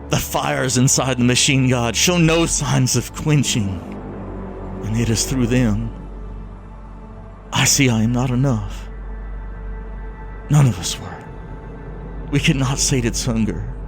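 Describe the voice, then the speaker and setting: A man playing a fervent, fatalistic last survivor in a solemn, measured, theatrical audio drama monologue. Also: medium pitch. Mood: anguished resolve. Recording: scary music behind the voice.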